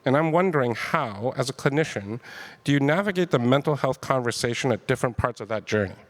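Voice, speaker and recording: A man of middle pitch moderates a panel discussion, feeling his way into questions with lots of fillers and self-corrections. He sounds curious and careful. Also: animated, fast. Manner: hesitant, many fillers and restarts, curious.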